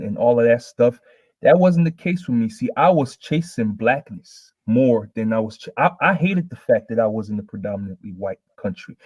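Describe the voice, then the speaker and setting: A man from Nigeria speaking on an online debate panel, measured and a bit hesitant. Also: mid-range voice, a little mellow, no echo.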